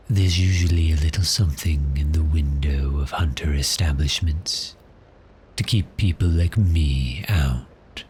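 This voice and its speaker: A man's voice: extremely low pitch, a little mellow, a little hiss or hum. A man playing a suave, predatory vampire in an ASMR roleplay, teasing and seductive with cheerful menace, his phrases trailing into breathiness. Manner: slow, deliberate, seductive, taunting. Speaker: audio roleplay performer.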